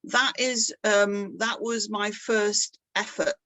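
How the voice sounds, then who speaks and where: A middle-aged woman talks about her own artwork in a loose, chatty way and pokes fun at herself. Her pitch sits in a middle range, and the room around her is dry and close, with no echo. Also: fairly even intonation, slow, pushed and bright. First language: English. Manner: chatty and self-deprecating, laughs often. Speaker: artist giving a talk. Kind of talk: casual presentation.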